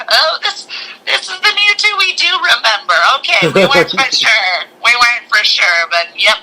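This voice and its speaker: A man with a fairly high, very bright and forceful voice chats casually as a podcast co-host reviewing a film. The retelling is animated, slipping into quick mimicked lines, and the sound is a little thin, like radio or a phone. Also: poor audio. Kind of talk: casual movie review chat.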